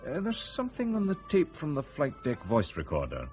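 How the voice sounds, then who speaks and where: An actor playing a senior fleet pilot in a radio drama, measured and sceptical, reasoning deliberately with sardonic emphasis. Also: male voice, fairly high pitch, huge pitch swings, a little mellow, soft background music. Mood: hesitant.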